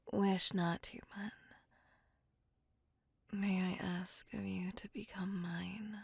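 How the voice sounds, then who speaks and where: A woman playing a courtly, besotted, ancient female vampire in an ASMR roleplay, speaking in formal, ornate, breathy phrases that often sink toward a whisper. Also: medium pitch, darkish tone, no room sound, heavily acted. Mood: pleading, devoted. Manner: formal, old-fashioned, ornate, pleading speech. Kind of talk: ASMR roleplay, scripted monologue.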